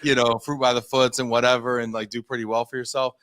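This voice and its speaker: A man's voice: mid-range voice, level tone, quick pace, fairly bright tone, no echo. A man in his thirties, a company founder being interviewed, telling his story in a chatty, self-deprecating, rambling way. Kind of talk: casual interview talk.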